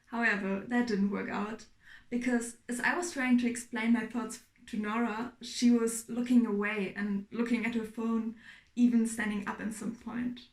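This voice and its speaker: Young german-born woman presenting for class, kinda high voice, echoey room, explains things calmly. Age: young adult. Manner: clear, explanatory, measured with fillers. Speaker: student presenter.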